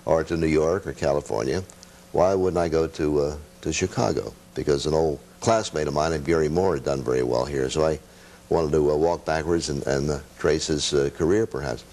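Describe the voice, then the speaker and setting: An older man, a veteran radio guest, chatting casually and reminiscing in a halting, self-deprecating, anecdotal way. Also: extremely low pitch, a little mellow.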